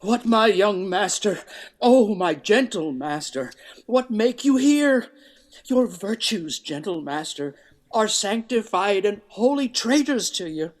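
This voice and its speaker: An actor playing an elderly, loyal and anxious male servant in a Shakespearean radio play, earnest and halting in old-fashioned verse. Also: mid-range voice, expressive. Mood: alarmed, grieving.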